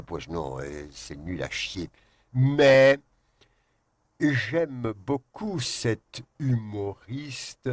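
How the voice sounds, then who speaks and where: A man whose first language is Spanish, teaching a French grammar lesson. He reads the rules slowly, then drifts into quick joking asides. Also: lowish voice.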